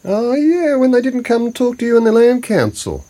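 A man's voice: extremely high pitch, big pitch swings, moderate pace, mellow, exaggerated voice. An actor playing an elderly Australian grandfather in an audio drama, colloquial, plain-spoken, grumbling and affectionate. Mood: puzzled. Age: older. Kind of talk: naturalistic audio drama dialogue.

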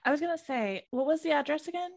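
A woman's voice: sits high, lively intonation, fast talker, creaky, no room sound, studio quality. A woman co-hosting a podcast, bubbly and giggly, rambling in casual chat. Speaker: podcast co-host.

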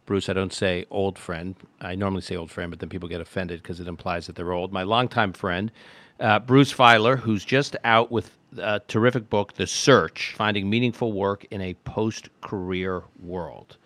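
Male podcast host, low-pitched, halting friendly questions, dry close sound. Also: fairly even intonation, fairly bright tone, no room sound. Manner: friendly, halting, full of restarts. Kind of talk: casual interview hosting.

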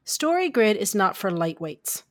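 A woman's voice: mid-range voice, sing-song, a little slow. A woman from Canada co-hosting a podcast, talking in a casual, chatty way and laughing easily. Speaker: podcast co-host, story editor.

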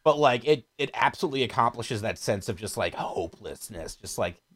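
A man chatting casually on a podcast, blunt and profane, speaking with lively emphasis. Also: mid-range voice, brisk. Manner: animated, emphatic.

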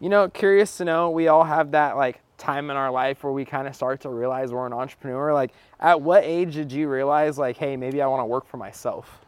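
A young man hosting a podcast, relaxed and friendly as he asks his guest easy questions. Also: medium pitch, a little fast, few pauses, smooth, no echo. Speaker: podcast host. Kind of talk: casual interview chat.

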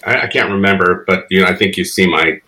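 A man's voice: low-pitched, quick pace, sharp tone. A man in his fifties from the Bronx whose first language is Spanish, a guest telling reflective, rambling stories with frequent laughs. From the Bronx, New York. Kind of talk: casual interview chat.

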